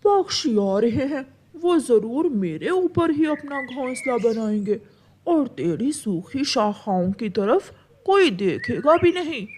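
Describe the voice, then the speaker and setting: A woman's voice: fairly high pitch, a little mellow, slight background noise. A woman voicing an arrogant male mango tree in a cartoon, mocking and gloating.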